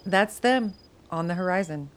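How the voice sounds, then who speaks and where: A woman playing a relaxed, no-nonsense army lieutenant in a radio drama, casual and wry. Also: lowish voice, normal speed, lightly acted. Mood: matter-of-fact. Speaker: actor in a radio play.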